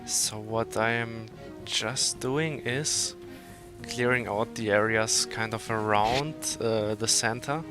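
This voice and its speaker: Male streamer walking through a mission, unhurried, game music under him. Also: fairly low pitch. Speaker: player demonstrating the game. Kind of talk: explaining gameplay while playing.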